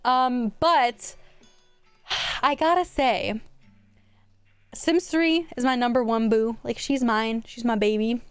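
A woman's voice: on the high side, slow pace, pauses often, clean tone, dry recording, soft background music. A young woman streaming from home, chatting casually and rambling with restarts, her voice a little worn from hours of talking. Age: young adult. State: voice going hoarse from a long stream. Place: home streaming room, indoors. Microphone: studio mic on a boom arm.

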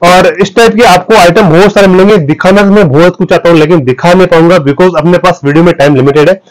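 A man in his thirties giving an emphatic, boastful sales pitch as a wholesale clothing seller. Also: steady tone, fast, low-quality recording. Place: small clothing wholesale shop, indoors. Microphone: clip-on lapel mic.

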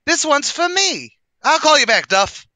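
A brash, boastful New tavern manager in a 1940s radio comedy. His voice sits high and swings up and down constantly, bright and sharp-edged. He rattles off streetwise wisecracks in a dry, close sound. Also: very animated, a little slow, a little hiss or hum, full character voice. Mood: chatty.

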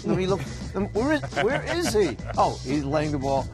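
A man in a TV studio breaking down game highlights as an analyst, animated and teasing. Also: normal speed, music in the background. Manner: animated, teasing, exclaims at replays.

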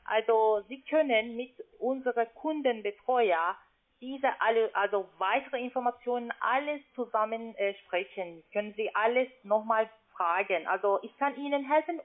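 High-voiced foreign woman on tinny phone. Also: expressive. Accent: foreign, non-native German. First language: not German. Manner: halting, hesitant, searching for words. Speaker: call centre agent. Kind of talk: unscripted phone sales call. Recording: narrow tinny phone line.

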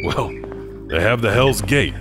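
An actor playing a chatty, eerie janitor who hosts a horror anthology in a rambling, folksy, darkly playful way. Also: male voice, fairly low pitch, lively intonation, measured pace, music in the background. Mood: eager storytelling. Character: chatty, eerie, friendly male janitor storyteller. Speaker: host. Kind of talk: theatrical horror anthology narration.

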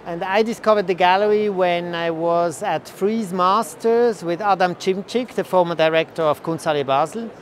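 A man's voice: high-pitched, moderate pace, few pauses. A middle-aged man, an art collector, talking casually during a guided visit. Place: large indoor art fair hall. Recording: faint fair hall noise.

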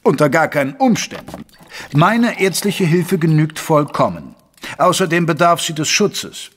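An actor playing a caring gentleman physician who narrates a German audio drama in a measured, literary way. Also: on the high side, very animated, a little hiss or hum. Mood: firm, protective.

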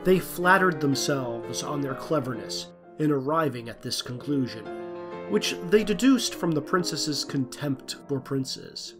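A man's voice: medium pitch, expressive, a little slow, music in the background. A man reading scripted storybook narration for an audio drama as its narrator.

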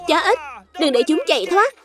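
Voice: very high voice, very animated, normal speed, a bit bright, faint music behind, full character voice. An actor voicing a bossy, bumbling male villain scientist in a children's cartoon dub, scornful and commanding.

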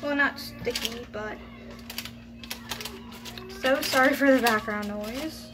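A teenage girl talks through a recipe in her small home kitchen, her voice high and her pace slow as she hesitates and trails off. She is recorded on a phone sitting on the counter, with clear household noise underneath. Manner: hesitant, chatty, trailing off mid-sentence. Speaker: home cooking vlogger. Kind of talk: casual cooking demonstration. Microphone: phone camera on the counter. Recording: home video with background noise.

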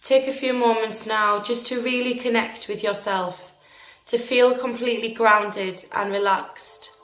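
A woman leading a guided meditation in a gentle, soothing, deliberate manner, leaving long pauses between phrases. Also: a little high, steady tone. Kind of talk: guided meditation, scripted narration. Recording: roomy echo with faint music behind.

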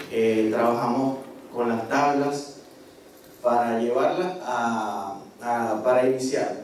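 Slow-talking Venezuelan man in a very echoey room. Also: mid-range voice, a little mellow. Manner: halting, with frequent tag checks. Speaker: course participant presenting, marine geology researcher. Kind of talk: informal spoken presentation. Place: medium-sized indoor seminar room. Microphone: handheld microphone through PA, picked up by far camera. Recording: echoey room, distant camera microphone, noisy.

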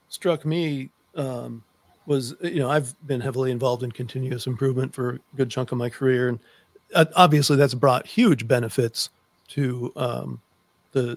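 Middle aged guy hosting a chat show, mid pitch voice, really dry close mic. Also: normal speed, no echo. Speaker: host. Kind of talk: conversational interview. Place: book-lined room indoors, on a video call. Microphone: desk microphone close up.

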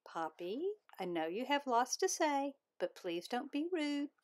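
A woman voicing a composed, kindly but firm female bear schoolteacher in a storybook, announcing to her class in a measured way. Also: high-pitched, very animated, voice pushed up.

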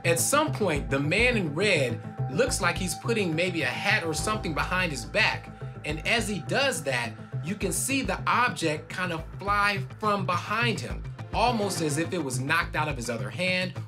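Expressive woman documentary commentator. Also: on the low side, moderate pace. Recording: music underneath.

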